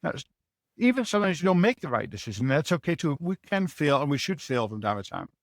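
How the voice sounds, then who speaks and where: A man's voice: fairly high pitch, very animated, quick pace, creaky, no room sound, clean studio sound. A man with a Dutch accent answering interview questions as a leadership mentor, explaining earnestly and often adding a rhetorical "right?". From Netherlands.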